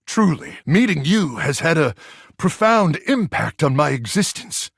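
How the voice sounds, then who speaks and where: A man voicing a macho, melodramatic microwave who acts like a gung-ho action hero in a video game, with a gravelly, husky voice and bombastic, theatrical delivery. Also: a little high, sing-song, unhurried, no room sound, studio quality, exaggerated voice. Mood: solemn gratitude. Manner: bombastic, theatrical swings from brooding to shouting. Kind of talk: video game character acting.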